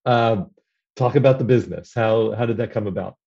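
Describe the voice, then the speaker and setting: Mellow man hosting over dull line. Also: on the low side, brisk, gravelly. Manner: conversational, asks with restarts. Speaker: podcast host. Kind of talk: interview hosting.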